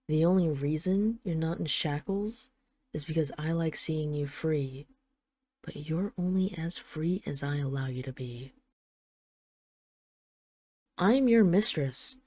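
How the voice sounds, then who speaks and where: A woman's voice: low-pitched, dark tone, no room sound. A woman playing a possessive dragon queen in an intimate roleplay audio drama, teasing, condescending and commanding.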